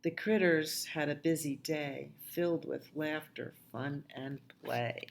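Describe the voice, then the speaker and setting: A woman hosting a storytime for young children, reading aloud and reciting rhymes in a gentle sing-song way, often dropping to a hush. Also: sits low, takes it slowly, vocal fry. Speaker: children's librarian and storytime host.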